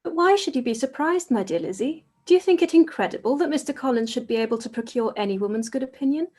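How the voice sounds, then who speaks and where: A woman playing a practical, unromantic young gentlewoman in a period radio play, speaking in a measured, formal way. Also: high voice, expressive. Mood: calm challenge.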